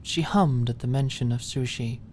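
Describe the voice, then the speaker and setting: A woman's voice: very deep voice, moderate pace, a little mellow, a little hiss or hum. A woman reading scripted story narration for an ASMR-style audiobook.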